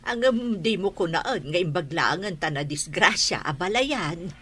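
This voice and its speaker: A woman's voice: fairly low pitch, expressive, moderate pace, bright, forceful tone, breathy edge, heavily acted. A woman playing a kindly older village aunt in an Ilocano radio drama, with an Ilocano accent. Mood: reflective, relieved.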